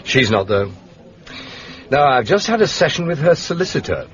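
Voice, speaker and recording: An actor playing an urbane, shrewd gentleman detective in a British radio drama, with an upper-class English accent and polished, drily understated manner. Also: mid-range voice, very animated, normal speed, lightly acted. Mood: dryly curious. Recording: muffled, dull radio drama recording.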